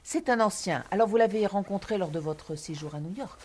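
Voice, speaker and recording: An actress playing a haughty, self-important private film teacher who talks down to her students in a husky voice. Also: medium pitch, a little fast, a little mellow, slight background noise, lightly acted. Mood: lofty. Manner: condescending, lofty, dismissive. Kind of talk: film drama acting.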